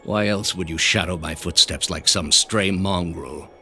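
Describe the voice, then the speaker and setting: A deep, imperious zealot grandmaster. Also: male voice, sharp tone, a little hiss or hum. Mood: coldly condescending. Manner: pompous, deliberate, condescending. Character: cold, imperious male grandmaster of a zealous ancient order. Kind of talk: dramatic video game dialogue.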